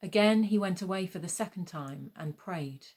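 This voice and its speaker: Low-pitched woman narrating scripture. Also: moderate pace, a little mellow. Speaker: gospel narrator.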